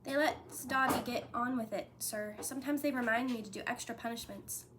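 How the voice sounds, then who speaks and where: Woman reading as a small male house elf, squeaky high voice, servile and anxious, faint hum behind. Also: a little fast, close to an everyday voice. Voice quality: high-pitched. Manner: servile, calls everyone sir, speaks of himself in third person.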